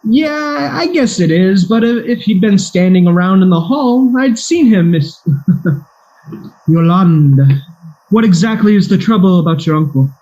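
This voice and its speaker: A man acts out a bumbling, eager private eye in a comic radio play. His pitch swoops up and down widely, and he stammers and hesitates as he tries to sound like a seasoned investigator. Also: expressive, measured pace, warm tone, lo-fi sound. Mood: puzzled. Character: bumbling, eager male private detective, war veteran.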